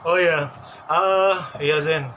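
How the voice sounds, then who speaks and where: A man hosting a casual vlog chat with a friend, playful and teasing, quick to laugh. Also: on the high side, steady tone, sharp tone. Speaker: vlog host interviewing a friend. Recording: noisy background.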